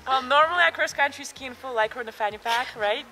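Bright-voiced young woman outdoors. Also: high voice, poor audio. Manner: playful, laughing, with frequent filler pauses. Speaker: guest skier. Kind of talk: casual outdoor interview. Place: outdoors on a snowy ski trail.

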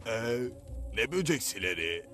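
A man's voice: lowish voice, very animated, measured pace, pushed and bright, heavily acted. A man playing a dim-witted, bumbling villain's henchman in a dubbed cartoon, hesitant and goofy. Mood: puzzled. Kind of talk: animated series dub acting.

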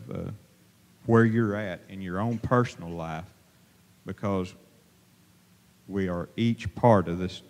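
A middle-aged man preaching an informal sermon from a pulpit, plain-spoken and halting, with many fillers and restarts. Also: fairly low pitch, a little mellow. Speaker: lay preacher. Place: church sanctuary, at a pulpit. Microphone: handheld microphone held to mouth. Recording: long reverb of a church hall.

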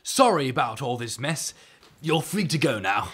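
A man's voice: medium pitch, sing-song, normal speed, a bit bright, a little hiss or hum. An actor playing a vain, self-important but polite insect-man leader with grand ambitions in an audio drama. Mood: apologetic.

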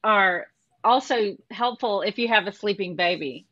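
A woman's voice: medium pitch, a little slow, a bit bright, no room sound, highs cut off. A woman presenting a talk over a video call, chatty and asking her listeners questions. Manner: conversational, asks the group questions.

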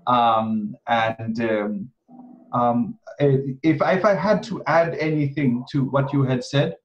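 A man in his thirties from Kenya, a guest astrology teacher, talking casually on a video call and pausing often with ums and restarts. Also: medium pitch. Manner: hesitant, many ums and restarts. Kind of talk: casual interview talk. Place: home room, video call. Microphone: video call webcam. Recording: dull video-call audio.

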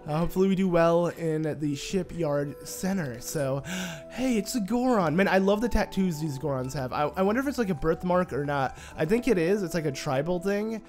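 A man with a slightly high, stuffy nasal voice talks casually while he plays a game. He rambles, gets excited and fills gaps with "like" and "uh". Soft game music plays faintly behind him. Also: moderate pace. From United States. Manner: chatty, excitable, rambling with fillers. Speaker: let's play host. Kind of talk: casual gameplay commentary.

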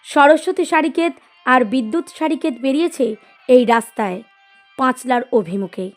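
High-voiced woman narrating a story over music. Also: animated, moderate pace. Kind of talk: expressive scripted literary narration.

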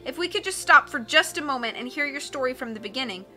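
A woman voicing a sensible, earnest teenage girl who speaks calmly and reasonably. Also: sits high, quick pace, soft background music, exaggerated voice.

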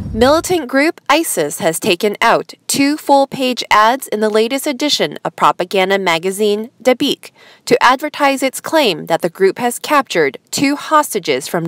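A woman host reading scripted news headlines in a formal, measured newsreader manner. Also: on the high side, no echo.

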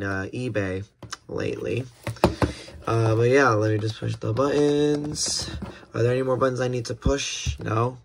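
A young man with an American accent presents an unboxing in a casual, halting way, repeating words as he goes. He sounds like he is at a desk indoors on a phone, with clear noise and handling clicks around his voice. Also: medium pitch, fairly bright tone. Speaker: video creator unboxing weather radios. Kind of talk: casual hands-on unboxing chat.

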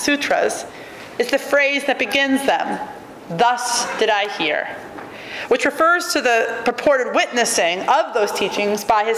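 A woman in her thirties reads a prepared academic lecture at a podium, measured and wryly emphatic, her fairly high voice carrying through a large auditorium. The sound is roomy with a long reverb tail and a faint hiss of room noise behind it. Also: fairly bright tone. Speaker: keynote lecturer. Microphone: podium microphone.

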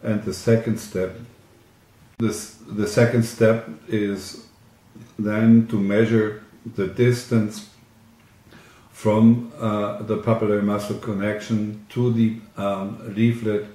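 A man, a surgeon, giving a medical lecture over slides in a halting, hesitant way with many fillers. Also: lowish voice, little pitch movement, dark tone. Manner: halting, hesitant delivery with frequent long fillers. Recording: roomy, echoing sound.

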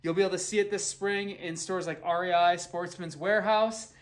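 A man's voice: high-pitched, steady tone, a little fast. A man in his forties doing a casual, upbeat kayak review in an indoor garage, talking into a camera held at arm's length. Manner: upbeat, conversational, a few restarts. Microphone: handheld camera at arm's length.

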